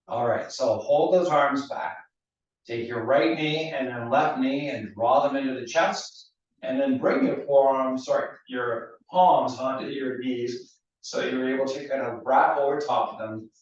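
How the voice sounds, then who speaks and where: Man, fitness instructor, slightly hoarse, calm step-by-step cueing, very echoey room, muffled sound. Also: mid-range voice. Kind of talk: guiding a mat mobility class. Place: fitness studio. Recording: roomy, distant, dull studio sound.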